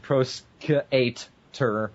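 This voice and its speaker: A man's voice: medium pitch, fairly even intonation, drawn-out words, dull audio. A man hosting an amateur podcast in a casual, bantering way. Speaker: podcast host.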